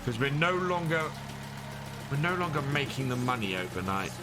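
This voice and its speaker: A man's voice: mid-range voice, big pitch swings, normal speed, very noisy. A man casually chatting with his live chat while playing a farming game, thanking followers and answering questions as he plays. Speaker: streamer. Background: game music.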